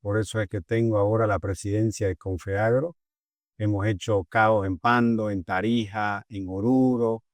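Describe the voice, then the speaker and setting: A middle-aged man with a Bolivian Spanish accent, a guest in an interview, who answers in a measured, earnest way with pauses and fillers. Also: on the low side, steady tone, no room sound. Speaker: agricultural leader, guest.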